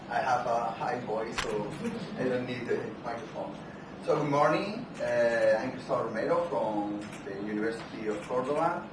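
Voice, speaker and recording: Spanish-accented male keynote speaker, unamplified, halting with fillers, heard from the back of a big boomy hall. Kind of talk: academic conference keynote. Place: large lecture hall. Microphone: far camera, no microphone. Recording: echoey hall from far off, noisy.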